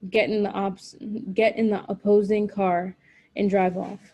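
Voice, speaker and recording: A woman reading scripted stage directions at a table read in an even, matter-of-fact way. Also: medium pitch.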